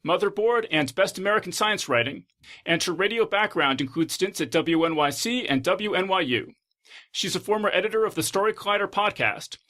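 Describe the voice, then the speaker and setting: Male podcast host, slightly high bright voice, conversational interviewer.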